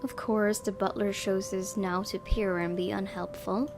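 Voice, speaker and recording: A woman's voice: medium pitch, little pitch movement, moderate pace, a little mellow, slight background noise. A woman hosting a gaming video, reading a visual novel's narration aloud and dropping in casual asides. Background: game music.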